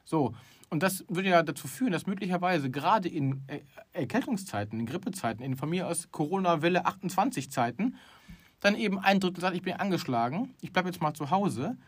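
A man's voice: high voice, animated, a little fast, husky. A middle-aged man co-hosting a casual talk show, opinionated and emphatic, with many restarts. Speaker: podcast co-host. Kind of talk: casual chat.

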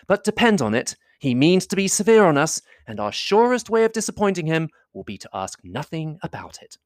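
A man's voice: on the high side, very animated, brisk, no room sound, voice pushed up. Witty young woman, voiced by a male reader; playful, dry close recording. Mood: confiding.

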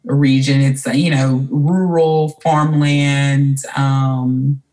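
A woman's voice: a bass voice, steady tone, drawn-out words, mellow. A woman from Mississippi, talking reflectively and casually as a guest in an interview. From Mississippi, United States. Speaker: podcast guest, writer.

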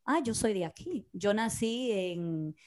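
A woman's voice: mid-range voice, slow pace. A Dominican woman in her thirties co-hosting a casual podcast, telling stories and acting out what people said. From Dominican Republic. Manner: animated storyteller, acts out quoted dialogue. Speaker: podcast co-host. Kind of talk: casual conversation.

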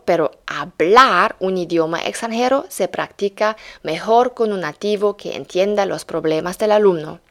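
A young German woman, her native German still shaping her Spanish, tells her own learning story with earnest candor. She then turns it into a steady pitch for her courses, in a voice of ordinary middle pitch. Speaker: German teacher. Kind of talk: scripted course introduction.

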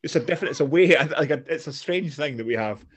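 A man's voice: a little high, lively intonation, fast, slightly rough. A Scottish man chatting casually, blunt and sweary, rambling with restarts. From Scotland. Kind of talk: casual chat.

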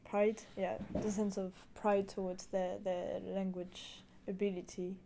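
Flat, mellow-voiced woman interviewer. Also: medium pitch, creaky, a little hiss or hum. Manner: measured, searching for words. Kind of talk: casual conversation.

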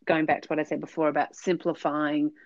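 A woman with a lowish voice talks through her business ideas in an earnest, explanatory way, dotting her sentences with fillers. The sound is dull and lo-fi, with a close, dry quality and no room around it. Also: level tone, brisk, dry recording. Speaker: podcast guest, business consultant. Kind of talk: conversational interview answers.

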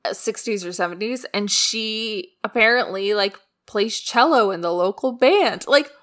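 A woman's voice: medium pitch, measured pace, a bit bright. A woman co-hosting a podcast in casual chat, rambling and saying "like" a lot. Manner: rambling, heavy use of "like". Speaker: podcast co-host.

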